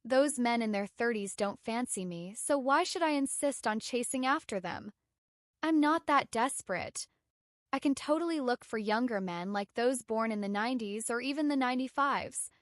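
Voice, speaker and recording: Woman, thirties, breezy confident read, a touch high, dry sound. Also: lively intonation, normal speed, no room sound. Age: 30s. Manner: chatty, self-assured, playful. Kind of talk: scripted narration of a clip.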